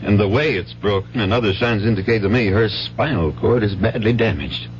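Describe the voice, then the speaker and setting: A man's voice: lowish voice, brisk, faint music behind, close to an everyday voice. A man playing an earnest, worried young hospital intern in a 1970s radio drama, with a grave manner. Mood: grave, clinical. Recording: muffled vintage radio broadcast.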